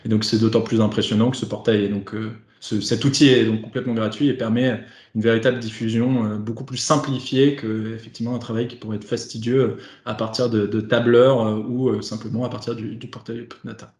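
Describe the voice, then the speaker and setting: A man's voice: mid-range voice, little pitch movement, brisk, darkish tone. A man hosting an online webinar in a semi-formal, wordy and hesitant way, speaking French over a video call. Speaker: host and facilitator of an online webinar. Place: remote video conference. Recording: roomy, echoing online call.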